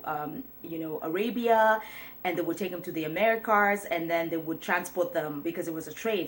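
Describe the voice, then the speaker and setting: A young woman from Kenya talking earnestly to her viewers in a casual, reflective vlog, with many pauses and restarts. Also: fairly low pitch, slight background noise. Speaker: vlogger. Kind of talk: casual vlog talk to camera.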